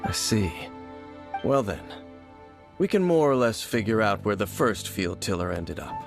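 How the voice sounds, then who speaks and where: An actor voicing a composed, secretive scholar who explains things in a measured way, in a video game cutscene. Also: male voice, fairly low pitch, sing-song, gravelly, heavily acted. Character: calm, secretive man, a learned scholar. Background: game music.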